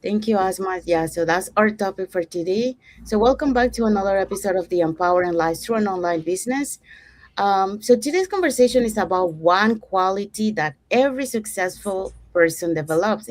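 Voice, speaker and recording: A woman's voice: medium pitch, animated, normal speed, dry recording. A woman from Panama hosting an online business talk show, leading the segments and introducing the speakers. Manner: upbeat, guiding the segments. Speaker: host.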